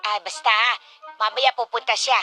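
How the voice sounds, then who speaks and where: A woman's voice: on the high side, steady tone, normal speed, pushed and bright, faint music behind. A woman with a Filipino accent playing a cheerful, newly smitten widowed mother in a radio drama. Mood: coy, cheerful. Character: cheerful widowed mother, newly smitten, fussing over her grown children.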